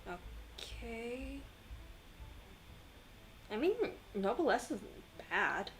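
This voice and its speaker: A woman's voice: on the high side, animated, extremely slow, fairly bright tone, slight background noise. A woman streaming a video game with casual commentary, playful and given to rambling asides to herself. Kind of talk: casual gameplay commentary, reading game text aloud.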